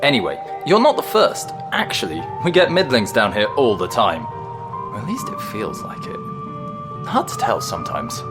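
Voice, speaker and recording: Man acting a chatty ghost, swooping pitch. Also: wildly swooping pitch, normal speed, music in the background. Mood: matter-of-fact. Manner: polite, chatty, mild understatement. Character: friendly, nervous, polite male ghost, matter-of-fact about danger. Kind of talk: audio drama acting.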